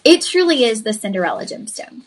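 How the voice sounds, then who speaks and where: Young woman, shopping host, bubbly sales patter, slightly high voice. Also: sing-song, brisk. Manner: chatty, effusive, addresses viewers as y'all. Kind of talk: live-stream sales pitch.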